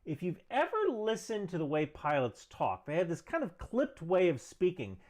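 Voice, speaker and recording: A man's voice: high voice, big pitch swings, moderate pace, a little mellow. A man presenting a scripted explainer commentary with emphatic, structured delivery. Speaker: video presenter.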